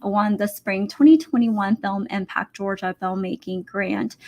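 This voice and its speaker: A woman reading a scripted guest introduction as a talk show co-host. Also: medium pitch. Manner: scripted, formal read.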